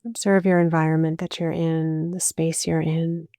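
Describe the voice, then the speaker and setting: A woman guiding a meditation as a yoga nidra teacher, speaking calmly and soothingly in short phrases with long pauses. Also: low voice, no echo, clean studio sound. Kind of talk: guided meditation, slow spoken instruction.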